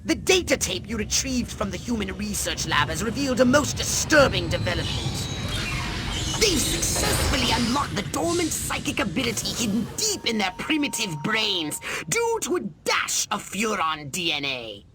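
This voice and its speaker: A man's voice: high-pitched, very animated, normal speed, music in the background. A man voicing a pompous, scheming alien commander giving orders in a video game. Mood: imperious. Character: scheming alien commander briefing his agent. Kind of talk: video game cutscene acting.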